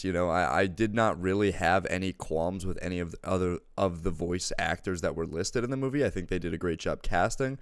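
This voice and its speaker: A man's voice: on the low side, clear, resonant tone, dry recording, studio quality. A man chatting casually as a film reviewer, enthusiastic and peppering his talk with "dude". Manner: enthusiastic, says dude often. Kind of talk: casual chat movie review.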